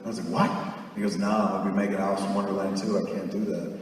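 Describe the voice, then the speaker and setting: A man's voice: deep voice, fast. Stage storyteller, man, 40s, swears a lot, does the voices; live hall recording with long reverb. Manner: profane, animated, acts out quoted voices. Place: theater stage, live audience. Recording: echoey hall, far from mic.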